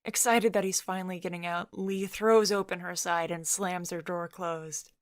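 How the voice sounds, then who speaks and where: A woman's voice: on the low side, fairly even intonation, normal speed, no room sound. A woman narrating a scripted story in the present tense, as the storyteller between character lines.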